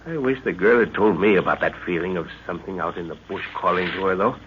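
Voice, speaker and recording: An actor playing an older professor and explorer, a concerned father with a deliberate, authoritative manner, in an old radio adventure. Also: low-pitched, close to an everyday voice. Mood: worried. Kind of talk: 1940s radio adventure acting. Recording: muffled, hissy old radio recording.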